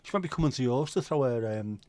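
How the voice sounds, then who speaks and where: A man's voice: medium pitch, expressive, fast talker, darkish tone, no room sound. Sweary Scouse man chatting on a livestream. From Liverpool, England. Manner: banter-filled, sweary, jokey. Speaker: livestream host. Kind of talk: casual livestream chat reading viewer comments.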